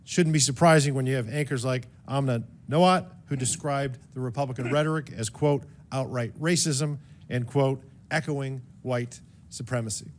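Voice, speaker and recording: A man's voice: mid-range voice, slight background noise. A man questioning a witness at a congressional hearing, firing off statistics in a combative, accusatory way. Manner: combative, rapid-fire accusatory.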